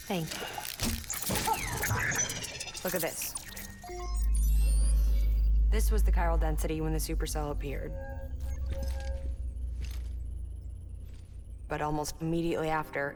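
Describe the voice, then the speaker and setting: Woman acting a calm young scientist mother, low voice, soft-spoken, wry, game cutscene, music behind. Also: natural voice. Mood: matter-of-fact.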